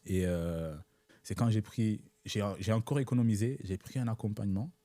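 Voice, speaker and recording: A young man, born in Italy and raised in Africa, who learned French later, talking as an interview guest in a measured way, checking in with his listener. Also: fairly low pitch, dark tone, no room sound. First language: not French. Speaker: guest entrepreneur. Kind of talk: casual interview talk.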